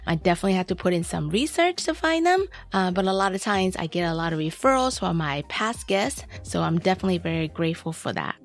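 Woman, American accent, podcast host, lowish pitch, informal, chatty. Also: big pitch swings, fast, hardly any pauses. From United States, San Francisco Bay Area. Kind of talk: casual interview chat.

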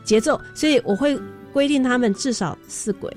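A woman's voice: high-pitched, moderate pace, darkish tone, faint music behind. A woman with a Taiwanese Mandarin accent, a teacher explaining as a guest in a radio interview.

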